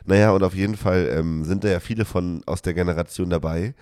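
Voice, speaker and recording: A man with a very low voice and a stuffy, nasal sound from a cold. He talks casually and laughs often. His voice sits dry and close on the mic. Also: fairly even intonation, a little fast, a little mellow, smooth, dry recording. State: congested from a cold. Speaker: podcast co-host. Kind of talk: casual chat.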